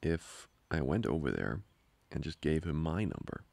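A man playing a jealous, protective young boyfriend in an improvised ASMR roleplay, grumbling with halting, sarcastic asides. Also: big pitch swings, a little mellow, no room sound, exaggerated voice. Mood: playful, joking. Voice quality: very deep, gravelly, husky.

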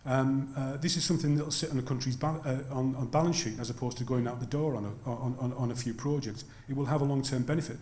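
A man's voice: medium pitch, steady tone, a little mellow. An older man answering an audience question at a university talk, full of restarts and repeated words, holding a handheld mic in a lecture theatre. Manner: halting, many restarts, then earnest. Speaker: panel speaker. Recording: echoey lecture hall.

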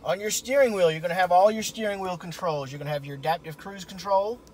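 A man's voice: sits high, fast, darkish tone. A middle-aged man giving a casual, folksy walkaround from inside a pickup truck cab. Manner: folksy, informal. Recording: camera audio with cabin noise.

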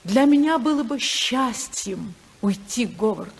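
An actress playing a dignified, disillusioned senator's wife, earnest, measured and defiant, in a 1950s Soviet radio drama. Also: female voice, fairly high pitch, animated, slightly rough, full character voice. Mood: defiant, resolute.

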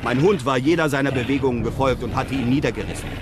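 A man playing a brave, composed European traveller who narrates his desert adventure in measured, literary sentences. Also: mid-range voice, background noise, close to an everyday voice. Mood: composed, suspenseful. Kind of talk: scripted first-person radio drama narration.

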